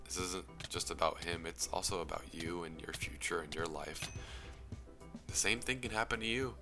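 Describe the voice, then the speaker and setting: A young man with a very low voice speaks earnestly and reflectively, his phrases often trailing into soft, breathy whispers. Clear background music plays beneath him throughout. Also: moderate pace. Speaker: YouTube commentator. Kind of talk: earnest personal commentary. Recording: music playing under the voice.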